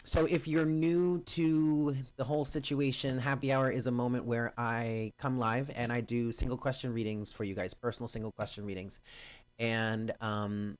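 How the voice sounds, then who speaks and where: A man hosting a live stream of readings, chatting casually with viewers and greeting them by name in a loud, carrying voice. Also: mid-range voice, level tone, normal speed, dry recording. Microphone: home stream mic, first too quiet, then louder.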